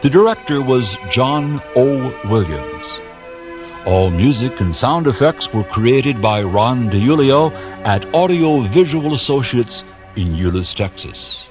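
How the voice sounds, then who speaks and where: Slow, dramatic male announcer over music. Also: medium pitch, animated. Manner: measured, theatrical. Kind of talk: dramatic scripted radio drama narration. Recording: dull lo-fi audio under music. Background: clear music.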